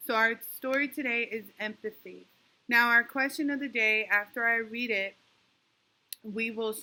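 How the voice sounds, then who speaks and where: Bright-voiced teacher woman coaching young kids. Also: on the high side, low-quality recording. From New York. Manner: patient, encouraging, talks slowly to kids with many "okay?" checks. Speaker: elementary school teacher. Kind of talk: online class for young children.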